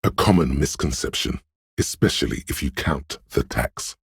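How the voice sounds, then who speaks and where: A man voicing a slick, smug, money-minded inner voice in a video game, speaking in a suave, deliberate, theatrical way. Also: dry recording, studio quality, full character voice. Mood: smug, coaxing. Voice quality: very deep, gravelly, husky. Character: slick hustling inner voice of a detective, smugly money-obsessed. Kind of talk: scripted game narration, theatrical and measured.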